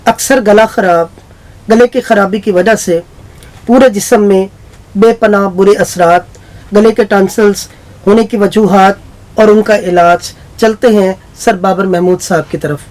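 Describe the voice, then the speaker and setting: A woman reading a scripted channel introduction in a smooth promotional style. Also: medium pitch, measured pace, a little mellow, poor audio. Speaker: channel announcer.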